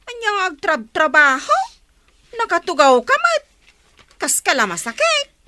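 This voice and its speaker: An actress with an Ilocano Filipino accent playing an impatient, bossy, sharp-tongued village woman in a radio comedy. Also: very high voice, wildly swooping pitch, unhurried, no room sound, full character voice. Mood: exasperated. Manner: nagging, exasperated. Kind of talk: comedy radio drama acting.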